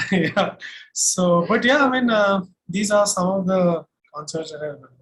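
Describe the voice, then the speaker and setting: A man from Delhi with an Indian accent, casually looking back as an interviewed singer, reflective and pausing often. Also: sits high, fairly bright tone, poor audio. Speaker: singer-songwriter being interviewed.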